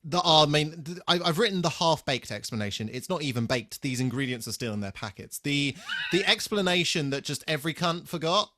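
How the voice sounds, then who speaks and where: A man's voice: a little high, animated, a little fast, hardly any pauses, background noise. Sweary, jokey man chatting on a podcast. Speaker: podcast co-host. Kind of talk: casual TV episode discussion.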